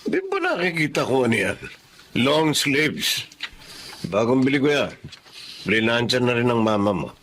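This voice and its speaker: An earnest, regretful father, animated pitch. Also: unhurried, long pauses, a little hiss or hum. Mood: firm. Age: middle-aged. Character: regretful, once-critical Filipino father, earnest and tender. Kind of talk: radio drama acting and first-person narration.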